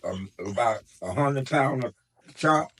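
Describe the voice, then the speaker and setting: A man's voice: mid-range voice, animated, unhurried, fairly bright tone, slightly rough. Rambling man in his nineties. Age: 90s. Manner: rambling, repeats words, restarts often. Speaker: grandfather telling stories. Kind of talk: casual storytelling.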